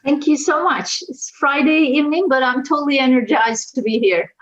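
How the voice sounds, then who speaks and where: A woman's voice: high voice, moderate pace, fairly bright tone, lo-fi sound. A woman from Turkey with a Turkish accent, giving a conversational personal account as a panel guest. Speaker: panel guest, analytics executive.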